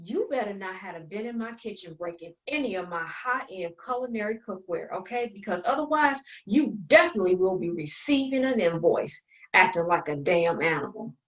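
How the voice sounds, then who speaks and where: A mid-range woman's voice, acted for a play reading. She is a bossy, haughty elder sister, sharp-tongued and condescending, with curt, sassy delivery. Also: medium pitch, expressive, normal speed, lo-fi sound, close to an everyday voice. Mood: scolding.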